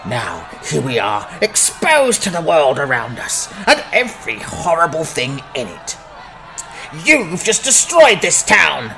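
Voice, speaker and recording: A man's voice: fairly high pitch, wildly swooping pitch, measured pace, creaky, bright, forceful tone, full character voice, low-quality recording. A man voicing a self-righteous village priest in a fantasy audiobook, declaiming and accusing with outrage. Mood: bitter blame. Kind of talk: dramatized fantasy audiobook acting.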